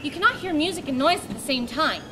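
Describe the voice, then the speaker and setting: A woman's voice: sits high, animated, fast. A woman playing a lofty male hermit philosopher in a homeschool dramatic reading, solemn and dismissive. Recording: loud background noise.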